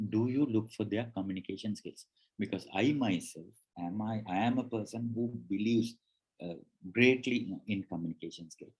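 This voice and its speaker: A man speaking in a low, somewhat husky voice, presenting to an audience on how to face a job interview. English is his third language, and he talks conversationally, often restarting sentences and acting out bits of dialogue. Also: darkish tone, low-quality recording. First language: not English.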